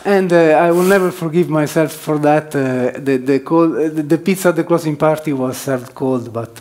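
A man's voice: fairly high pitch, normal speed, a little mellow. A man presenting at a developer conference as one of its organizers, hesitating often with fillers and restarts. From southern Switzerland. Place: conference hall.

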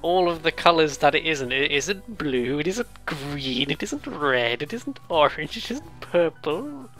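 A let's player giving casual, rambling game commentary with excitable, jokey asides and some reading of on-screen text. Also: medium pitch, unhurried, faint music behind.

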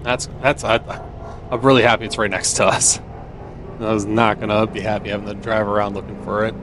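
A man's voice: fairly low pitch, little pitch movement, fairly quick, noisy background. A man giving casual game commentary, thinking aloud in short phrases. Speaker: gameplay streamer.

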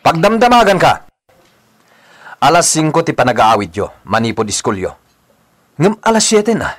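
A man's voice: a little high, big pitch swings, moderate pace. An actor playing a strict, stern father in a radio drama. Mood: angry scolding. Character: strict, stern father and eldest brother, Bugoy.

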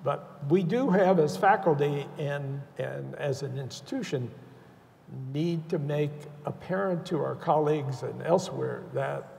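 An older man speaking as a panelist in a measured, deliberate way with hesitations, on an auditorium stage. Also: on the high side, gravelly, a little mellow, slight background noise. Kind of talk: panel discussion. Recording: reverberant auditorium sound.

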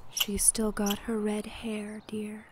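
A woman's voice: medium pitch, completely flat delivery, slow, husky. A woman acting a jealous, possessive witch wife in a retro radio drama, coaxing with sugary threats. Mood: cold gloating.

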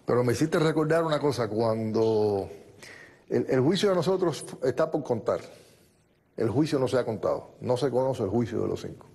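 A man in his fifties, a guest in a studio TV interview, recounting events in steady detail on a lapel mic. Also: medium pitch, normal speed, husky. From born in the United States, raised in Cuba.

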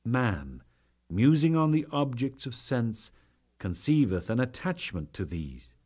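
Male narrator, slow pace, widely swooping intonation, solemn, theatrical, scripted sacred reading. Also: mid-range voice, sing-song, a little mellow. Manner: solemn, measured, theatrical delivery with archaic diction. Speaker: audiobook narrator.

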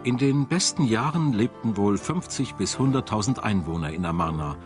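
A man's voice: on the low side, lively intonation, moderate pace. A man reading scripted narration for a documentary as a studio voice-over. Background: music.

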